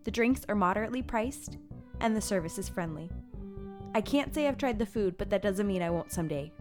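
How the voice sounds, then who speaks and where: A woman hosting a show, reading out and commenting on online bar reviews in an expressive, chatty way. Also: mid-range voice, fairly quick. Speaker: podcast host. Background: music.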